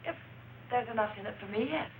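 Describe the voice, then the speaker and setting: An actress playing a brassy, defiant small-time crook in a film noir crime drama, with tough, sarcastic, slangy speech. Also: female voice, a little high, fast talker, very noisy, lightly acted. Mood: cagey, sardonic.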